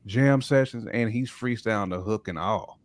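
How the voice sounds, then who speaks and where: A man's voice: on the low side, moderate pace. A man co-hosting a podcast, chatting casually and enthusiastically about hip hop with plenty of slang. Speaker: podcast co-host.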